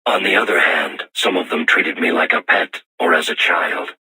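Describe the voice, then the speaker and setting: A man voicing a solemn self-aware robot war machine in a video game, with formal, measured, stilted diction and robotic processing on the voice. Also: very deep voice, steady tone, pushed and bright, slightly rough, heavily acted, rough recording. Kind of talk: scripted video game voice acting.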